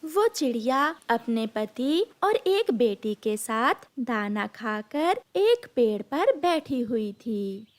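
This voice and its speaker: A woman's voice: a little high, big pitch swings, takes it slowly, hardly any pauses. A woman narrating a scripted moral story for children.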